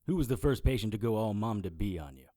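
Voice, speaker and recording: A man's voice: on the low side, lively intonation, quick pace, mellow, no room sound, close to an everyday voice. An actor playing a wisecracking private investigator who narrates his own story in a radio drama. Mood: sardonic. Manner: dry, sardonic wisecracks. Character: Harry Strange, wisecracking male private investigator. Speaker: narrator and lead character. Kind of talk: hardboiled first-person narration.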